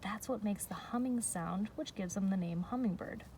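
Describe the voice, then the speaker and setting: A woman teacher reading a picture book aloud to young children, explaining in a measured way with pauses between phrases. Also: medium pitch. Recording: distant mic with faint background noise.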